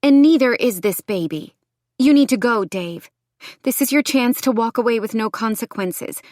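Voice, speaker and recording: A woman's voice: fairly high pitch, expressive, normal speed, a little mellow, dry recording, studio quality, voice pushed up, lightly acted. A woman voicing a young mother who stands firm, with a defiant manner, in an audiobook story.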